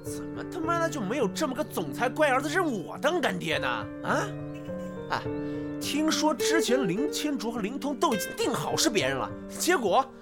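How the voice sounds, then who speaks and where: An actress playing a catty, envious gossip, sneering and crude, in a Chinese audio drama. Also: female voice, high-pitched, big pitch swings, moderate pace. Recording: loud guitar music behind the voice.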